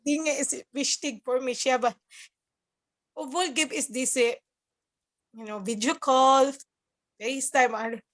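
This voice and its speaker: A woman's voice: high voice, steady tone, slow, long pauses, fairly bright tone. A woman from the Philippines with a Filipino accent, a nurse being interviewed, who halts to search for words and laughs often. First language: Cebuano. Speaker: guest, nurse working abroad. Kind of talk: casual interview chat mixing German and English.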